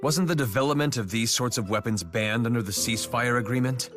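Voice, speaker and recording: An actor playing a wry, worldly fantasy party member in a video game, with a casual, dry manner. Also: male voice, medium pitch, fairly quick, natural voice. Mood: matter-of-fact. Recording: loud music behind the voice. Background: loud background music.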